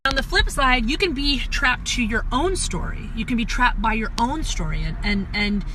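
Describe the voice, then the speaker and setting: A woman's voice: fairly high pitch, noisy background. A woman giving casual relationship advice as a vlogger, in a conversational, emphatic way. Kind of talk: casual advice talk to camera.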